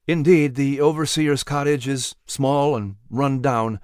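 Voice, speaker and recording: Man doing a subdued, quiet young man. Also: mid-range voice, fairly even intonation, unhurried, clean tone, no room sound, clean studio sound, natural voice. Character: grieving, quiet young man rebuilding his home.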